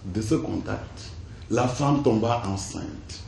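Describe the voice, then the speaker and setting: A man telling a tale on stage in a solemn, measured way. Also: on the low side, lively intonation, vocal fry, darkish tone. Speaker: storyteller. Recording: echoey room with faint noise.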